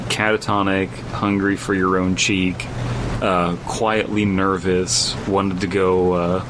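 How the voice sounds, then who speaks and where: A man's voice: little pitch movement, takes it slowly. A man co-hosting a podcast in casual comedic banter, reading a story aloud and riffing on it crudely. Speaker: podcast co-host. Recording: noisy, low rumble behind the voice.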